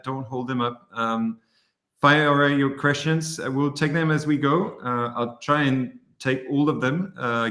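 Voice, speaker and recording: A man's voice: medium pitch, pushed and bright, echoey room. A man hosting a webinar interview, friendly, with many fillers. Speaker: webinar host, company founder.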